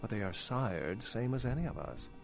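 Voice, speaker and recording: An actor playing an urbane, worldly old vampire scholar in a video game, speaking in a formal, wry, articulate way. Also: male voice, lowish voice, big pitch swings, normal speed, vocal fry, over music. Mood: musing. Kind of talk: video game character dialogue.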